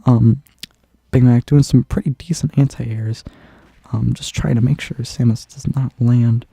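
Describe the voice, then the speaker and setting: A man's voice: mid-range voice, steady tone, measured pace, gravelly, mellow, breathy edge, no echo. A man with a stuffy, congested nose, casually commentating a game tournament, rambling with lots of ums and restarts.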